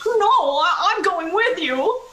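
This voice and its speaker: Woman playing a very high-voiced, timid damsel. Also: expressive, slow, sharp tone, heavily acted. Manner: fretful melodrama. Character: timid, frightened young damsel, Joycey. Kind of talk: amateur radio play reading. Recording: echoey, noisy room recording.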